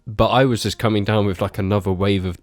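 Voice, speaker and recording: A young man with a lowish voice speaks, stuffed up from a cold, in a teasing, jokey way. The sound is dry and close, with faint music behind. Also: fairly even intonation, quick pace, no room sound. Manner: jokey, teasing, calls others "bro". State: bunged up from a cold. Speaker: podcast co-host. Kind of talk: casual podcast chat.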